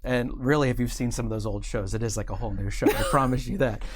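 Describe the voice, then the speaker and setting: A man hosting a show with casual, chatty interview talk, rambling and halting, often poking fun at himself. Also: mid-range voice, husky. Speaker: show host. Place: studio.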